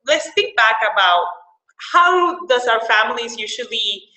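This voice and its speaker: A woman's voice: on the high side, lively intonation, unhurried, sharp tone, roomy sound, low-quality recording. A young adult woman from El Salvador who is a financial counselor, presenting a webinar in a conversational way with frequent fillers and repeated words.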